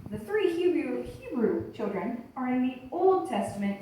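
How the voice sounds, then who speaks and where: A woman's voice: sits high, big pitch swings, normal speed, dark tone. A woman voicing a bossy, reproachful talking Bible in a church puppet show, admonishing and instructing with emphasis. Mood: stern teaching. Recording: echoey room, distant mic, faint noise.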